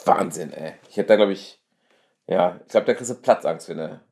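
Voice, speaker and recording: A man's voice: low-pitched, normal speed, gravelly, a little mellow. A man from the Ruhr area interviewing a guest in casual conversation, slangy and agreeable, with lots of fillers. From Ruhr area, Germany.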